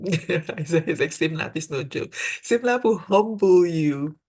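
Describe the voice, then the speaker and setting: A woman's voice: fairly low pitch, animated, measured pace, a little mellow. A young woman from Cameroon with a Cameroonian accent, a dental student mentor giving earnest webinar advice and repeating key points for emphasis over a video call.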